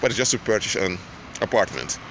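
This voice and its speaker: A man with a low voice teaches on faith, loud and emphatic, with halting restarts. His voice carries a long reverberant tail. Clear traffic noise runs behind him. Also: sing-song. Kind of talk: spoken teaching monologue.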